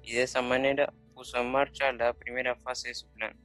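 A man's voice: medium pitch, level tone, fairly quick, a bit bright, dry recording, faint music behind. A man reading scripted narration for a radio drama.

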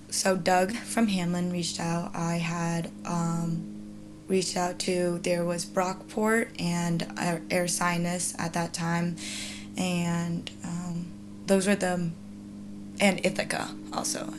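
A young woman in her twenties, a student athlete whose first language is Mandarin, speaks in a fairly low voice and answers questions casually. She fills her answers with ums and drifts as she goes. A steady hum and clear noise run under the recording. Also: slow pace. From China. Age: 20s. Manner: many hesitant ums, rambling.

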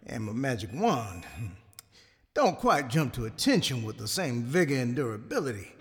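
In a long reverb with music underneath, a man acting as an old retired mage holds forth. He is folksy and roguish, swinging through his pitch with boastful relish in a sitcom audio play. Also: big pitch swings, lightly acted. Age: older. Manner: folksy, unhurried, self-aggrandizing.

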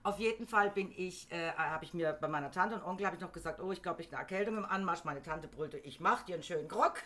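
A woman's voice: on the low side, quick pace, pushed and bright. A woman in casual chat, telling jokey stories and laughing often.

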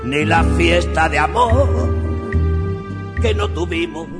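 A man reciting poetry in a solemn, measured manner. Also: a little high, lively intonation. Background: loud music.

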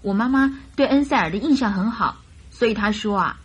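A woman's voice: mid-range voice, lively intonation, fairly quick, slightly rough. An actress playing an earnest, naive young bride-to-be in a radio drama, recounting her story in a hesitant, chatty way.

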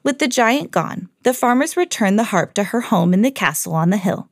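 Animated woman reading a children's story. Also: mid-range voice, normal speed, no echo, studio quality. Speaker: podcast host and storyteller.

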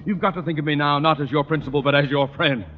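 An actor playing a pompous, blustering high school principal who sputters when flustered, in old radio sitcom style. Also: male voice, fairly even intonation, fast talker, lightly acted. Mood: panicked. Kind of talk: 1940s-50s radio sitcom acting. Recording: muffled, noisy old radio recording, reverberant hall.

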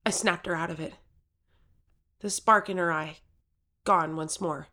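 A woman's voice: lowish voice, fairly even intonation, measured pace, sharp tone. A woman playing an earnest, determined thirteen-year-old girl who tells her own story with urgency in an audio drama. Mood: tense narration. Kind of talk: first-person audio drama narration and acting.

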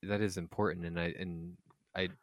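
A man co-hosting a podcast in casual conversation, speaking earnestly and haltingly and often repeating his words. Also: low-pitched, little pitch movement, dry recording, clean studio sound. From United States. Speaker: podcast co-host.

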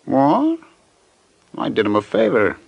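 A slick, cocky debtor from an old radio detective show. His gravelly voice swings widely in pitch and sits close and dry. He stalls smugly, entirely unbothered. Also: huge pitch swings, slow, no room sound, dull audio, exaggerated voice. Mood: smug, indignant. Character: slick, evasive man dodging his creditors, cocky and unbothered. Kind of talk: old-time radio detective drama acting.

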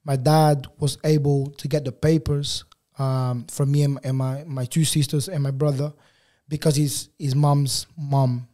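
Guest voice: young man, first language Portuguese, mellow timbre, loose and rambling storytelling. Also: medium pitch, unhurried, few pauses. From Angola, raised in Portugal. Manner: relaxed, rambling, many fillers and restarts. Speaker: guest photographer. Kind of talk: casual interview chat.

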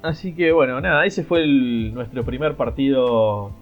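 A man co-hosting a casual podcast chat, joking around and often pausing on filler words. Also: mid-range voice, dull audio, faint music behind. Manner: joking, frequent "este" fillers. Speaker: podcast co-host.

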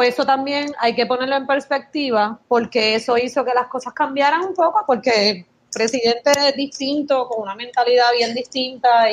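A woman's voice: a little high, dull audio. A woman in her thirties with a Puerto Rican accent, telling stories in casual chat and mixing English words into her speech. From Puerto Rico. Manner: lively storytelling, mixing English words into Spanish. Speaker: podcast guest sharing her experience.

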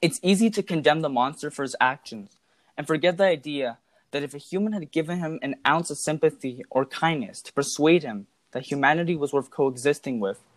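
A man giving scripted analytical commentary in a formal, measured manner. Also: fairly high pitch, dry recording.

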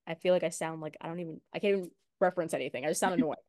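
A woman's voice: deep voice, racing, dark tone, no echo, studio quality. A young adult woman co-hosting a podcast, congested and nasal from a cold, chatting casually. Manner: chatty, laughs between lines. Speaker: podcast co-host. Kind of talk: casual chat.

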